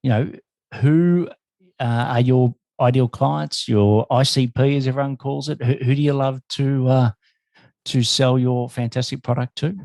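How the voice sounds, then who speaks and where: A man's voice: medium pitch, fairly even intonation, measured pace, vocal fry, dark tone, dry recording. A man hosting a podcast and interviewing in a conversational way.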